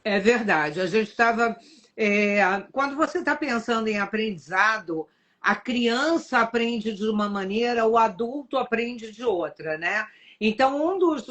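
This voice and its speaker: Chatty high-voiced Brazilian woman host. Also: a bit bright. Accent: Brazilian Portuguese. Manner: chatty, anecdotal. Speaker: live-stream host. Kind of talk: casual live-stream conversation.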